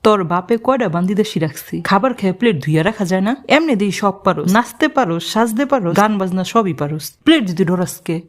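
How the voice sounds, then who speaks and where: A woman's voice: mid-range voice, animated, fast, few pauses, natural voice. A woman voicing a hot-tempered scolding mother. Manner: scolding, nagging. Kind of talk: cartoon voice acting.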